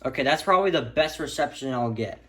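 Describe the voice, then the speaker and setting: A man's voice: mid-range voice, lively intonation, quick pace, fairly bright tone. A man in a casual, unscripted hobby vlog, rambling and stammering as he rants, stretching out words for emphasis. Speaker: hobbyist video creator showing a radio collection.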